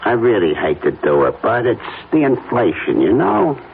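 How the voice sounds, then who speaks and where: Smug male blackmailer: falsely apologetic, animated pitch, thin hissy old radio sound. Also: moderate pace, creaky, heavily acted. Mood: falsely regretful. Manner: falsely apologetic, oily politeness. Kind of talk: old-time radio drama acting. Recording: tinny, band-limited radio recording, faint noise.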